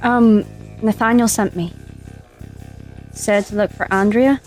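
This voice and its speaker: A woman's voice: mid-range voice, steady tone, slow pace, darkish tone, faint music behind, lightly acted. An actress playing a wary, wry female commander in an audio drama. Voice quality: wry, guarded. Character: wary female commander alone in a hostile city.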